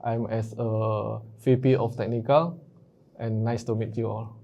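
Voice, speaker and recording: A man speaking on a roundtable panel, pausing often with fillers as he searches for words. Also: fairly low pitch, little pitch movement, gravelly, warm tone. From Indonesia. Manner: halting, many filler pauses. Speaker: technical VP, panelist. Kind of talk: roundtable discussion. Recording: muffled lo-fi audio.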